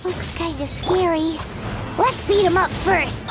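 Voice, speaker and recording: A woman voicing a small, excitable fairy companion in a video game, with a squeaky, childlike, chirpy delivery. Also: measured pace. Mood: chatty, curious. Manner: chirpy, refers to herself in third person. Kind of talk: video game dialogue acting. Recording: voice over game music.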